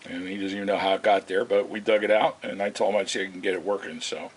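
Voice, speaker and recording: A man giving a casual, unscripted demonstration as a video host, speaking in a halting, rambling way. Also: sits low, steady tone, husky. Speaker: video host demonstrating vintage radio repair. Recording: hissy, noisy amateur home video.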